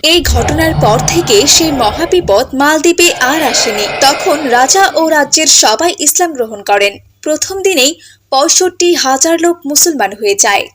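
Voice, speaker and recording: A woman's voice: high voice, a bit bright. A woman giving scripted storybook narration as the narrator of a tale.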